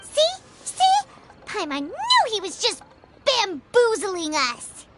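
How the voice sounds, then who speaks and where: A woman voicing a tiny, excitable floating girl companion in a video game who talks about herself in the third person. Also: takes it slowly, exaggerated voice. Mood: excited. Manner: speaks of herself in third person, squeaky and bouncy. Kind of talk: video game cutscene dialogue. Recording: game audio over loud music.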